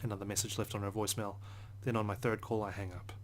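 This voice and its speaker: Fast, even, low-voiced man reading. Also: a little hiss or hum. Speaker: let's play host. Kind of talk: reading visual novel narration aloud. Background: soft guitar music.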